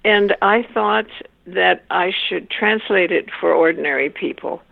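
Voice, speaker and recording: An older woman whose first language is Swiss German, speaking as an interview guest in a measured, thoughtful way and pausing to search for words. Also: on the low side, vocal fry, dry recording. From Wisconsin, USA. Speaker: interview guest, author and family therapist. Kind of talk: reflective interview answers. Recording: tinny phone-like line.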